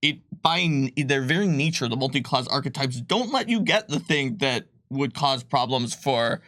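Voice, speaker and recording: A man's voice: medium pitch, lively intonation, normal speed, fairly bright tone, dry recording, clean studio sound. A man casually explaining tabletop game rules on a livestream, rambling with lots of likes and ums. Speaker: game designer presenting rules.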